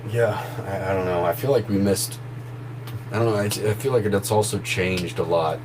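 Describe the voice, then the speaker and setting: A man with a very deep, low voice talks loosely on a live stream, drifting from thought to thought and laughing easily. A steady hum runs clearly underneath the recording. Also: normal speed. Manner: relaxed, rambling, laughs easily. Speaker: horror story narrator and voice actor. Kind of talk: casual live stream chat. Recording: noisy background hum.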